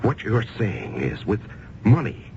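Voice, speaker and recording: Animated financier on noisy old radio. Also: male voice, on the low side, unhurried, vocal fry, muffled recording, exaggerated voice. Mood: uneasy, questioning. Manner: expressive, with theatrical hesitations. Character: shrewd male financier, wavering between doubt and defiance. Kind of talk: old-time radio drama acting. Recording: band-limited, noisy old radio recording.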